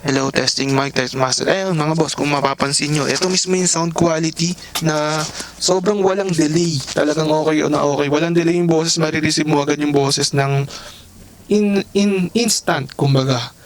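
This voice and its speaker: A man's voice: a little high, steady tone, moderate pace, a little hiss or hum. Chatty man demoing a helmet intercom. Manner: chatty, addresses viewers as bosses. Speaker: vlogger reviewing a helmet intercom. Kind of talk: casual product unboxing. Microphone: camera mic, sometimes through intercom earpiece.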